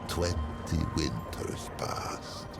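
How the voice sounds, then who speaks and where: An ancient, otherworldly storyteller from a video game, with an extraordinarily low voice. He unfolds old legends slowly and ceremonially, learned and faintly wry, in archaic phrases. Loud music plays behind him. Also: heavily acted. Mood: solemn storytelling. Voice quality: very deep, gravelly. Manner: slow, stately, archaic storytelling with deliberate pauses. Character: ancient, learned non-human storyteller, male, wry and solemn. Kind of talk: scripted video game lore narration. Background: prominent background music.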